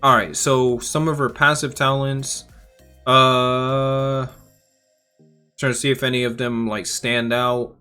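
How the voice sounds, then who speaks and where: Man, mid-pitched voice, casual gaming commentary, rambling and informal, background music. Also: flat delivery, slow, smooth. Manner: informal, rambling, thinking out loud. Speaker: gaming YouTuber. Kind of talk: casual game reaction commentary.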